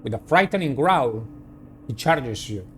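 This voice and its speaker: A man hosting a game playthrough, reading the story aloud in a dramatic, deliberate way and adding his own commentary. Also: mid-range voice, expressive, faint music behind. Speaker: gaming video host. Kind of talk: reading visual novel narration aloud with personal commentary.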